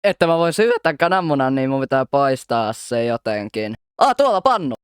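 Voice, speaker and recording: A man's voice: medium pitch, big pitch swings, clean tone, no room sound, studio quality, natural voice. A man voicing a hapless, easily frustrated cartoon cat in an exclamatory, exasperated way for an amateur comedy fandub.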